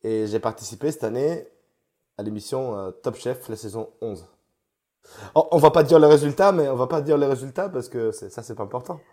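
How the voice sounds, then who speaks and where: A man's voice: medium pitch, fairly quick, warm tone. A young man from Italy, a chef, answering interview questions in a rambling, self-deprecating way with many restarts. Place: home, remote call.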